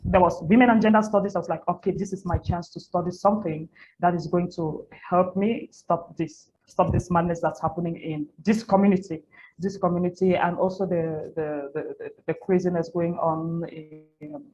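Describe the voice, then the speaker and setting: Casting: Cameroonian woman, thirties, lowish voice, candid interviewee who repeats for emphasis; noisy lo-fi capture. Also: steady tone, moderate pace, warm tone. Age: 30s. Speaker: interview guest, NGO founder and women's activist. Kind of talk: personal storytelling in an interview. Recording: muffled lo-fi audio with audible background noise.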